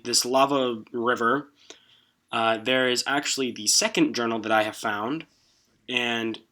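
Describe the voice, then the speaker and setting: Man, bright forceful voice, casual game walkthrough commentary, informal, halting explanations, guide host. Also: medium pitch.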